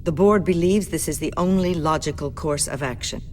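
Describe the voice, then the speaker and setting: An actress playing a businesslike company executive in a video game, formal, measured and coolly apologetic. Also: female voice, low-pitched, darkish tone, slight background noise, lightly acted. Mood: regretful, firm. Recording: music playing behind the voice.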